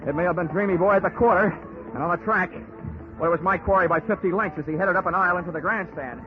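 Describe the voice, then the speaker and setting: A man's voice: high-pitched, fast, close to an everyday voice. An actor playing a tough, wisecracking insurance investigator who narrates his case in clipped 1940s radio detective style. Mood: tense, driving. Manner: wisecracking, hard-boiled, clipped. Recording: muffled 1940s radio recording, music behind.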